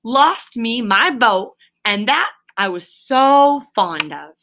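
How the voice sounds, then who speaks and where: A woman's voice: a little high, very animated, takes it slowly, a bit bright, exaggerated voice. A woman voicing a cross, scolding but good-natured water rat in a storybook, with emphatic delivery.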